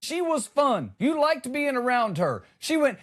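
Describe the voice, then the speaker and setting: A man's voice: extremely high pitch, big pitch swings, unhurried. A man delivering a comedic monologue as a podcast host, ranting in an exasperated, emphatic, sarcastic way.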